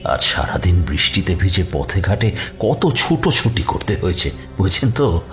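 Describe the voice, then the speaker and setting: A man's voice: low voice, sing-song, moderate pace. An actor playing an aggrieved, evasive male believer in the occult, protesting and justifying himself emotively in an audio mystery. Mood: evasive, explaining. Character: aggrieved, evasive gentleman who believes in tantric magic. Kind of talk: dramatised Bengali mystery audio story. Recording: music behind the voice.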